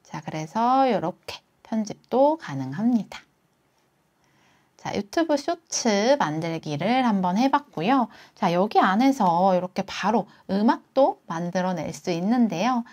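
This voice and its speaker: A woman narrates a screen walkthrough in the manner of a tutorial host. Her voice sits at an average pitch as she explains each step in turn. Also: lively intonation, unhurried, a little mellow.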